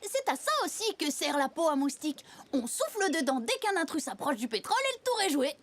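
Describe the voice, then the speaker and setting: A woman voicing a wise, gentle jungle villager in a cartoon who explains calmly. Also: high-pitched, brisk. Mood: explaining. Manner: gentle, knowing.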